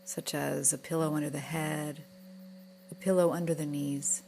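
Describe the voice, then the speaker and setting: A woman guiding a relaxation meditation in a gentle, soothing, unhurried manner, with soft breathy moments. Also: sits low, level tone, a little hiss or hum, low-quality recording. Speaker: yoga nidra guide.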